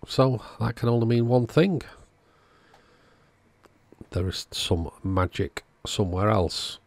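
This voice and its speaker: Deep-voiced, gravelly man narrating repairs. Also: slow, darkish tone, no room sound. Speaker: host, electronics repairer. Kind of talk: casual repair video narration.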